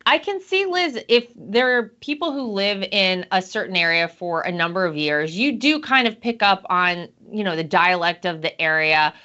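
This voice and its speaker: A woman from North Carolina hosts a talk show in a bright, forceful voice. She chats conversationally and reacts with animation. The audio is slightly dull and lo-fi. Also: medium pitch, animated, moderate pace, hardly any pauses. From North Carolina, USA. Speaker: host. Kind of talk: casual talk show chat.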